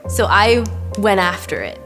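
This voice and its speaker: A woman's voice: medium pitch, very animated, a little slow. A woman, a graduating student, speaking confidently in a testimonial. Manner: confident, ambitious. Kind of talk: interview testimonial. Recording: music bed underneath.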